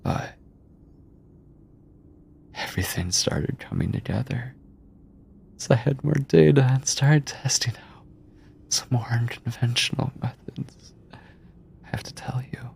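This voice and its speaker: A man playing an obsessive mad scientist captor in a horror ASMR roleplay, coldly doting and clinical, with condescending endearments, theatrical pauses and a breathy, husky voice. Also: medium pitch, full character voice. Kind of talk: horror ASMR roleplay, intimate monologue to listener.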